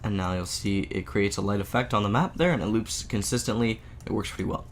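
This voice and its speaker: A man with a sick, slightly off voice, casually walking through a software tutorial with lots of filler words. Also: on the low side, brisk, a little hiss or hum. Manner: casual, many "uh" and "there" fillers. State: sick, voice a bit off. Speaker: game engine tutorial maker. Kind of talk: screen-recorded software tutorial.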